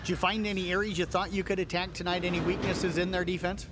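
Male sports radio reporter, quick and slightly high, with crowd noise in a school gym. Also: little pitch movement. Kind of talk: post-game interview questions.

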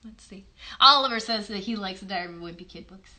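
A woman's voice: medium pitch, fast talker, sharp tone. A woman in her thirties chatting to children as a livestream host, explaining simply and encouragingly with lots of questions. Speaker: host and puppeteer of a children's show. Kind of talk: child-directed chat on a livestream. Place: small bedroom-like room indoors. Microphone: phone a short distance away.

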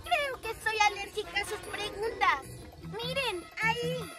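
Bossy cartoon hero girl, Latin American Spanish, very high, unhurried, sassy, loud music behind. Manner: commanding, sassy. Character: bossy little superhero girl, defiant and scheming. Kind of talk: animated children's cartoon dub.

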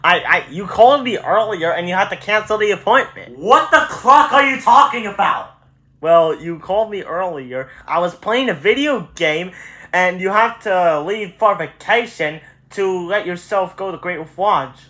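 An excitable, exasperated male puppet acted out by a performer bickers through a homemade skit. The delivery is bright and exclamatory, with very lively pitch swings. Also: normal speed. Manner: animated, exclamatory. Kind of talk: amateur puppet skit acting.

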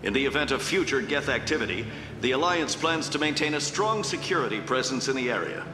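A man's voice: mid-range voice, lively intonation, a little fast, lightly acted. A man playing a formal news announcer, reading scripted bulletins in a video game. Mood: newsy. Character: formal male news announcer of a futuristic broadcast. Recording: echoey long reverb, music behind.

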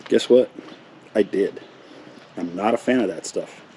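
Middle aged american guy vlogging, lowish voice, matter of fact, some outside noise behind him. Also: a little slow, dark tone. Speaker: host of a lawn care vlog. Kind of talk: casual vlog talk with explanation. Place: outdoors in a residential yard, later an open garage. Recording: clear outdoor ambient noise.

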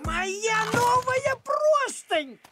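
Ворчливого кота, очень высокий голос. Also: female voice, very high-pitched, animated, drawn-out words, heavily acted. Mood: indignant. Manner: grumbling, sarcastic, homely. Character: grumpy, sarcastic, thrifty house cat. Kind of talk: animated cartoon voice acting. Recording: music behind the voice.

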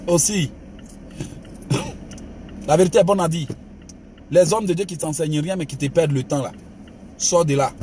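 Male pastor, fairly high voice, exhorting sermon style. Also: darkish tone, slight background noise. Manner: emphatic preacher, calls listeners "bien aimé", mixes exhortation with laughs. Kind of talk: motivational sermon.

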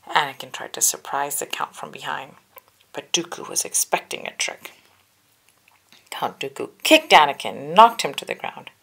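A woman's voice: low voice, sing-song, normal speed, vocal fry, fairly bright tone, husky. A woman reading a children's storybook aloud with careful, dramatic narration. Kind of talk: children's book read-aloud, scripted narration.

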